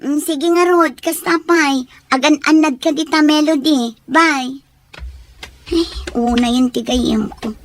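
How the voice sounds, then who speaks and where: A supportive friend acted by a woman with a high-pitched voice. She sounds earnest and caring, delivering lines in the manner of an audio drama. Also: slow, pauses often. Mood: concerned. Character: concerned, supportive female friend.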